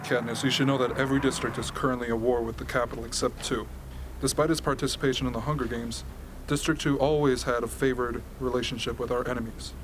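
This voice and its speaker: Radio play briefer, male actor: serious, explanatory, mid-pitched, slight background noise. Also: little pitch movement, quick pace, close to an everyday voice. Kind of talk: amateur radio drama acting, expository briefing. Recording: hobby recording with ambient noise.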